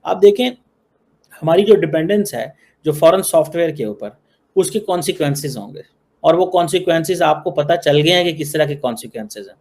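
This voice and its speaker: A man with a Pakistani accent speaks in a fairly high voice. He gives informal political commentary and leans on repeated phrases to press his point. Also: animated, fairly quick, darkish tone. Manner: emphatic repetition of phrases.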